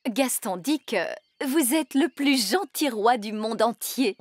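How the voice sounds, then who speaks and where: Mild grown-up cartoon lady, bit high, matter-of-fact. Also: animated, slow pace, dry recording. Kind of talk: animated children's series dubbing.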